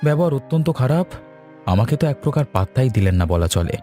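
An actor playing a calm, level-headed village husband in a cartoon, reassuring and measured. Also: male voice, mid-range voice, soft background music, natural voice. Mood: disappointed.

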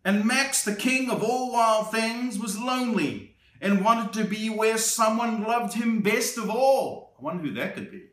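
A man reads a children's picture book aloud at an unhurried pace, his voice sitting fairly high and full of play. He stops for cheerful asides and small laughs between pages. The sound is roomy and a bit distant, picked up by a camera a few feet away. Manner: slow and expressive, with playful asides and laughs. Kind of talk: children's storytime read-aloud. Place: indoor room, seated in an armchair. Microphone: camera on a tripod a few feet away.

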